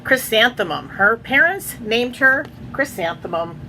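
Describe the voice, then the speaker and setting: A woman's voice: fairly high pitch, expressive, a little slow, pushed and bright, a little hiss or hum, rough recording. A woman reading a children's picture book aloud at home, in a sing-song storybook way, chatting to her pet birds between pages.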